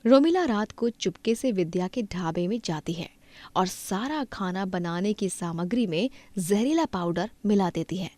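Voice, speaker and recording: A woman's voice: mid-range voice, expressive, unhurried, warm tone, no room sound. A woman reading scripted narration for a cartoon moral story.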